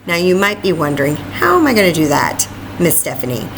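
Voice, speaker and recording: A woman hosting a children's library story time, reading a picture book aloud with animated, exclamatory asides straight to her young listeners. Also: low voice, a little fast, noisy background.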